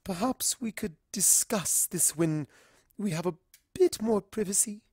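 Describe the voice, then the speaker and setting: A woman's voice: mid-range voice, measured pace, dark tone, husky, no room sound, studio quality. A woman voicing a refined, easily embarrassed ladylike cartoon pony with genteel, delicate manners. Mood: embarrassed, hushed. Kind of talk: acted fan fiction dialogue.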